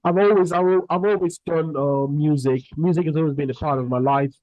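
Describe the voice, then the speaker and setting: A young man from Cameroon answers interview questions in a relaxed, rambling way, often restarting his sentences. His voice sits on the high side for a man, and the recording is dry and close. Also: brisk, no room sound, muffled recording. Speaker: Afrobeats artist, guest.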